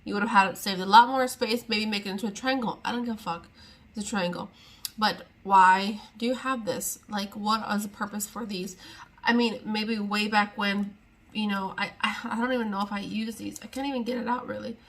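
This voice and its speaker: A woman's voice: mid-range voice, fairly even intonation, a little fast, a bit bright. A young woman chatting casually to camera at home, rambling and exasperated as she talks through her makeup. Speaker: beauty vlogger. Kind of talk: casual sit-down chat to camera.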